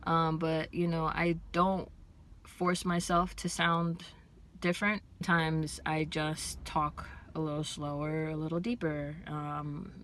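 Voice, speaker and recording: A young transgender man before hormone therapy, with a fairly high voice, chatting excitedly to his viewers in a casual vlog. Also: slight background noise. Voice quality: higher-pitched voice. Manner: excited, fast-talking, chatty with many fillers. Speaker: vlogger.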